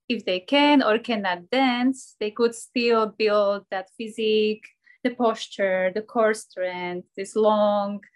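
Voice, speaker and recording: Cheerful woman dancer. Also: medium pitch. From Slovakia. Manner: cheerful, laughs often, drops articles. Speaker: ballet dancer and personal trainer. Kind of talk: casual interview answers.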